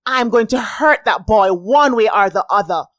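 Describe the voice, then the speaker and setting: A woman's voice: fairly high pitch, lively intonation, unhurried, fairly bright tone, no echo, studio quality, pitched above the performer's own voice. A woman voicing a protective, motherly woman friend who is indignant and emphatic. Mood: furious.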